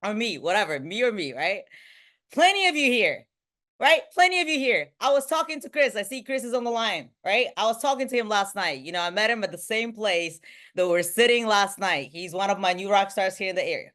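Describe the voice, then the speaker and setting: A woman in her thirties from Bulgaria leads a motivational online training as a sales team trainer, talking excitably and often checking in with short questions. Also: mid-range voice, a little fast. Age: 30s. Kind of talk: motivational online training talk to a live group.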